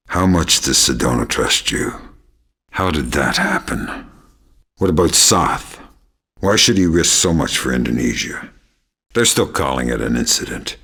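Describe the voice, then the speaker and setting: An actor playing a grizzled, middle-aged male covert agent in a video game, with a gravelly, deadpan, quietly threatening delivery. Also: a bass voice, heavily acted. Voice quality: gravelly, husky. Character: grizzled veteran male covert agent, menacing, sardonic, dry-witted. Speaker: video game lead character. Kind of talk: dramatic video game acting.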